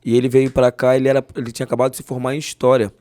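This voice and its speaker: Fast-talking man with a softened carioca accent. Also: medium pitch, completely flat delivery, dry recording. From Rio de Janeiro, Brazil. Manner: quick, warm storytelling. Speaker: guest, jiu-jitsu master. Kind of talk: interview storytelling.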